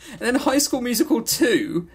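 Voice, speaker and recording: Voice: medium pitch, big pitch swings, moderate pace, vocal fry, crowd noise. A podcast co-host chatting casually in a jokey, sarcastic and rambling way, prone to going off on tangents. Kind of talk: casual, tangent-prone banter about visual novels.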